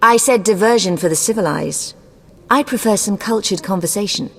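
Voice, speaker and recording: A woman's voice: medium pitch, normal speed, lightly acted. A woman playing an imperious, ruthless sorceress in a video game, haughty, formal and commanding. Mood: formal, clipped. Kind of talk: scripted video game dialogue. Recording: long cavernous reverb.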